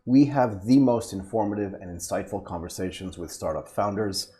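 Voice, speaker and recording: A man's voice: lowish voice, normal speed, warm tone. A man hosting a conversational interview, speaking in an upbeat, encouraging manner. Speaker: podcast host.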